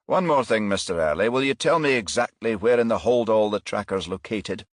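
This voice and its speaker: A man voicing a formal, curt and demanding titled gentleman in a crime audiobook, with pointed questioning. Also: lowish voice, animated, fairly quick, dry recording, studio quality, voice pushed up, natural voice.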